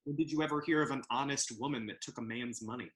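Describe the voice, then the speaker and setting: An actor playing a blunt, foolish gentleman traveler in a Restoration comedy, mocking heartily with drawn-out exclamations, in a roomy, echoing recording. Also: medium pitch, lightly acted. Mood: hearty. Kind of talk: Restoration comedy stage acting.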